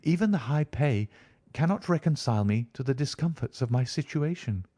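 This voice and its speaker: A man puts on a young Victorian lady for an audiobook, lifted well up in pitch. She is genteel and prim, earnest in her worry and indignant at those who trouble her. The sound is close and dry. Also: expressive, moderate pace, mellow, no echo, close to an everyday voice. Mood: earnest, uneasy. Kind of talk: audiobook character reading.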